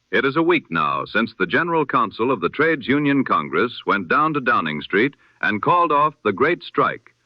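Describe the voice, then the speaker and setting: A man reading a scripted news bulletin as a 1920s radio announcer, in a solemn, formal, measured oratorical manner. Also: mid-range voice, dry recording, lo-fi sound.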